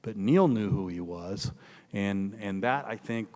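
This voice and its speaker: A man's voice: lowish voice, a little slow, dark tone. An older man with an American Midwestern accent, a biographer telling stories conversationally as he answers an audience question, in an emphatic, digressive way. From northeastern Indiana, United States. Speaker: author and biographer giving a talk. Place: large indoor hall, public talk. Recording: echoey hall with long reverb.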